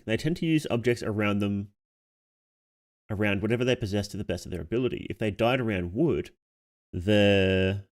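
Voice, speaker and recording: A man's voice: on the low side, a little mellow. A man streaming casually, reading on-screen text aloud and musing on it as he goes. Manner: reads text aloud, muses and reacts aloud. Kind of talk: casual livestream reading and commentary.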